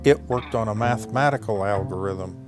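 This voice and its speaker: A man's voice: fairly low pitch, brisk. Older man explaining over guitar music. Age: 70s. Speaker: interviewed engineer. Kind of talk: documentary interview, reminiscing. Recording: music behind the voice.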